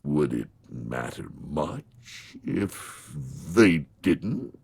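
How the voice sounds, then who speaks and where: A man voicing a grim, patient old reaper from a storybook, gravelly and raspy, with a slow, folksy, wry delivery. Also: a bass voice, big pitch swings, a little mellow, pitched below the performer's own voice, exaggerated voice. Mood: wry, chiding. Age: older. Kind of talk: dramatized storybook reading.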